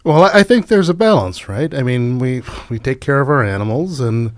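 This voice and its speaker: A man's voice: mid-range voice, expressive, normal speed, dry recording, close to an everyday voice. Man playing a mild doctor, dry sound. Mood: reassuring. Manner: hesitant, rambling, reassuring. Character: mild, conciliatory doctor friend, hesitant peacemaker. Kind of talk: audio comedy drama acting.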